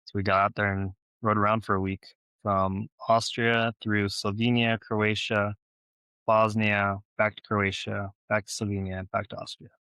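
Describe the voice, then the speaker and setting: A man's voice: lowish voice, flat delivery, normal speed, sharp tone, clear, resonant tone, dry recording. A man who grew up in Los Angeles, answering questions conversationally as an interview guest. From born in Ukraine, grew up in Los Angeles, United States. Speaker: podcast guest, real estate investor and former Navy officer.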